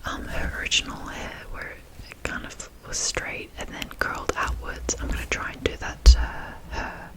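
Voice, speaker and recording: A woman whispering as an ASMR host, rambling softly in short admiring remarks during a show-and-tell. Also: normal speed, few pauses.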